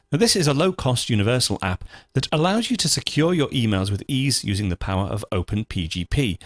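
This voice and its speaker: A man hosting a software tutorial, explaining things step by step in an informal, methodical, conversational way. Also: medium pitch, no room sound. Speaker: host of a software tutorial show.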